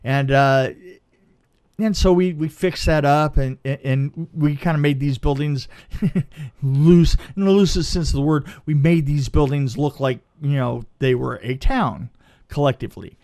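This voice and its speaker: A man's voice: fairly high pitch, a little slow, a little mellow. A man telling a casual anecdote as a film crew guest, stammering and repeating words as he goes.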